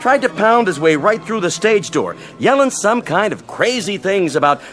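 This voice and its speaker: A man's voice: sits high, sing-song, moderate pace, fairly bright tone, faint music behind. An actor playing a dutiful, plain-spoken policeman in a radio drama, reporting with exasperation. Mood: exasperated.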